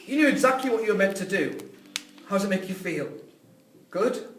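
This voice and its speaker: A high-pitched young man played by a male actor in an amateur audio drama. He sounds wounded, bitter and accusing. The voice is a little away from the mic in a roomy space. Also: expressive, a little fast, fairly bright tone, a little hiss or hum. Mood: angry, bitter. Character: hurt, angry young man learning he is adopted. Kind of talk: amateur radio drama script reading. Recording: roomy, distant microphone.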